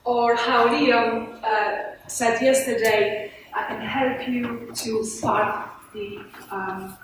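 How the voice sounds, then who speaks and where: Female conference speaker, Polish accent, higher voice, halting informal delivery, recorded in a very reverberant room. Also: steady tone. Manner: hesitant, halting, frequent searching for words. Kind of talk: informal business presentation. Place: indoor event room with audience. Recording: very echoey room, distant mic, clear noise.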